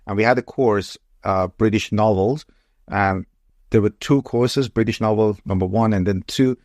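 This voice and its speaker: A man's voice: on the low side, moderate pace, a little mellow, no room sound, studio quality. Hesitant man. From Iran. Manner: friendly, hesitant, many restarts. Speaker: podcast host. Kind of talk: interview conversation.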